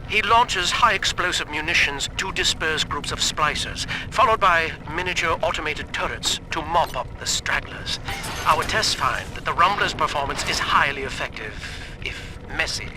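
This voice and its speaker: An actor playing a cool weapons researcher in a video game, giving a formal, dryly clinical report. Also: male voice, a little high, lively intonation, normal speed, noisy background, close to an everyday voice. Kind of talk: scripted audio report.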